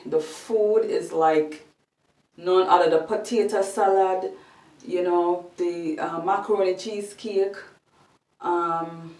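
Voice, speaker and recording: A woman with a Jamaican accent chatting slowly in a home vlog, thinking aloud with many ums. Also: lowish voice, darkish tone. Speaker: vlogger. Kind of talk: casual vlog chat. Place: room at home. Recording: echoey room, voice a bit far from the mic.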